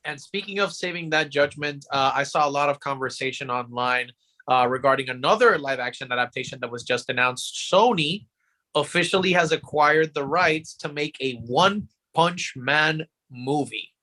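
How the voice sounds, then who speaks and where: A man's voice: a little high, moderate pace, sharp tone, poor audio. A man co-hosting a podcast, presenting news in a casual, conversational style. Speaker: podcast co-host.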